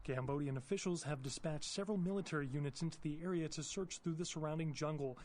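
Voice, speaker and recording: Guy doing a serious tv news anchor, quick scripted read, slightly high voice, faint background noise, video game newscast. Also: darkish tone, lightly acted. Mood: serious, formal.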